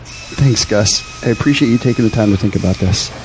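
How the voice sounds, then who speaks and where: A man's voice: on the low side, a little fast, darkish tone, slight background noise, natural voice. An actor playing an earnest, desperate young man, pleading politely in an audio drama. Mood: grateful. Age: young adult.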